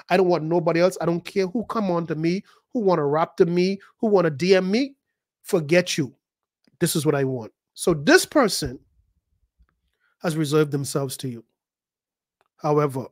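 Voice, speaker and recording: A man, a pastor preaching a sermon, emphatic and repeating words, his pitch jumping high at key points. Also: a little mellow, no room sound, clean studio sound. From the Bahamas. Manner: repeats words and acts out quoted voices.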